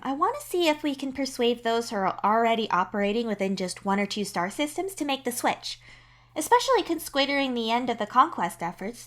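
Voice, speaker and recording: A woman voicing a young female alien troll leader in an audio story, earnest, upbeat and briskly in charge. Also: fairly high pitch, voice pushed up. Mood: brisk, decisive. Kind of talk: podfic character acting.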